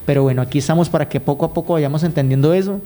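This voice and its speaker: A man's voice: a little high, very fast, dark tone. A Colombian man giving earnest, conversational interview answers as a radio guest, searching for his words now and then. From Colombia, raised in Guaviare. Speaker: radio guest, youth program consultant.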